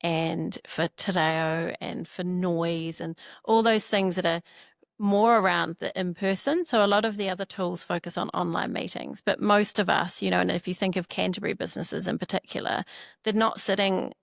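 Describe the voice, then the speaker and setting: A woman's voice: on the low side, fairly even intonation, normal speed, creaky, no room sound, clean studio sound. A woman from New Zealand with a New Zealand accent, co-founder of a startup, chatting in a casual interview, full of "you know" and "like".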